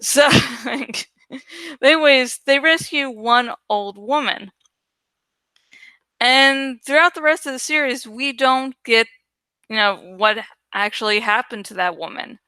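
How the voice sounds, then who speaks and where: A woman's voice: high-pitched, takes it slowly, pauses often, pushed and bright, clean tone, dry recording. A woman hosting a book read-aloud show, giving informal fan commentary that rambles and digresses. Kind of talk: casual, rambling fan commentary between dramatic readings.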